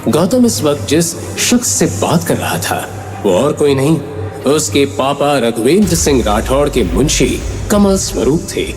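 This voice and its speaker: A man's voice: fairly high pitch, big pitch swings, measured pace, a little mellow. A man narrating a scripted audio drama in a dramatic storytelling style. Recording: background music under the voice.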